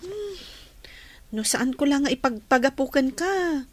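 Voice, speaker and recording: A woman's voice: high-pitched, lively intonation, unhurried, no room sound. A woman playing an earnest, respectful young village student in an Ilocano radio drama. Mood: teasing, curious.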